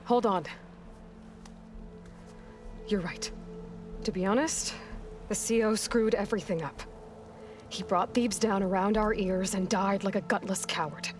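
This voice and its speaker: A woman's voice: mid-range voice, a little slow, pauses often, close to an everyday voice. A woman acting a resolute, blunt young hunter in a video game, speaking directly and earnestly. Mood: firm, persuasive. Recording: music behind the voice.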